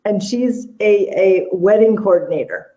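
A woman's voice: mid-range voice, measured pace, warm tone, lo-fi sound, slight background noise. A woman in her fifties chatting casually about family, laughing often. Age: 50s. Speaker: guest author. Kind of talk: casual chat.

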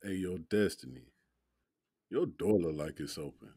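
An actor playing a laid-back, protective young man in an audio drama, talking in slang with drawn-out, incredulous repeats. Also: sits low, mellow, slightly rough, no room sound, clean studio sound, full character voice. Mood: concerned.

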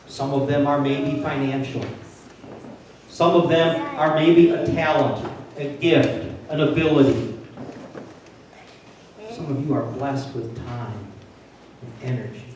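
A man's voice: medium pitch, slow pace, pauses often, distant mic, noisy background. Older man preaching in an echoey church. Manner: plainspoken and conversational, building to urgent emphasis. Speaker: pastor. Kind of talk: sermon. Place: large church sanctuary. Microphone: handheld mic, filmed from a distant camera.

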